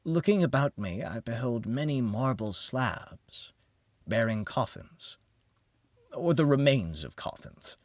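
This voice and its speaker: A man reads gothic horror as an audiobook narrator. His delivery is slow and solemn, with theatrical pauses and a pitch that rises and falls widely. The recording is close and dry. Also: expressive, no room sound.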